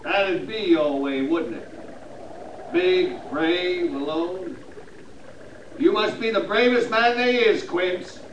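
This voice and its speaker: A man's voice: sits high, slow, pauses often, lo-fi sound. An actor with an American accent playing a vengeful, cowardly frontier gunman, bitter and taunting, with a folksy drawl in 1950s Western radio style. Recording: noisy, echoey 1950s radio recording.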